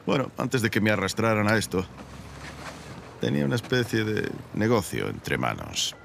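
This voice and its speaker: A wary older rogue in an adventure game, deep and creaky. He speaks slowly and drily, with faint ambience behind. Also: big pitch swings, a bit bright, a little hiss or hum, heavily acted. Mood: wary, amused. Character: wary older male rogue, a dry-humored cigar smoker. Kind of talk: Spanish dub of cinematic game drama.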